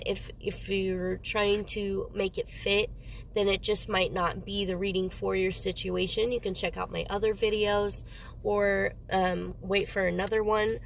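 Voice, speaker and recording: A woman's voice: mid-range voice, creaky, slight background noise. A woman doing a casual tarot reading, sniffly with a cold, rambling and often stopping to search for words. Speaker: tarot reader.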